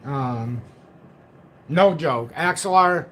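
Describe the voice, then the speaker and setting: A man's voice: a little high, extremely slow, bright, forceful tone, a little hiss or hum. A man in his forties hosting a casual crypto livestream, walking through charts and reading out viewer comments, often repeating himself. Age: 40s.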